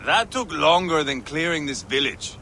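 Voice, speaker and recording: An actor playing a proud, hot-tempered Viking warrior lord in a video game, with a scornful, crude, swaggering manner. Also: male voice, fairly high pitch, sing-song, moderate pace, pushed and bright, a little hiss or hum, exaggerated voice. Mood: scornful, sullen.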